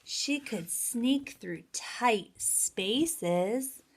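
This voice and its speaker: A woman teaching young children in a story time, with a sing-song, animated way of talking straight to them. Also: on the high side, extremely slow, husky. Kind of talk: children's story time lesson with songs and read-aloud.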